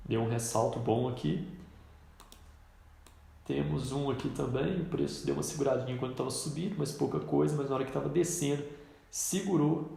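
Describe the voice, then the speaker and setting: A man's voice: medium pitch, gravelly, warm tone, very echoey. A man with a cold, teaching a trading lesson in a casual way while he points things out on a chart. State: congested with a cold. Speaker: trading instructor. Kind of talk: screen-recorded trading lesson.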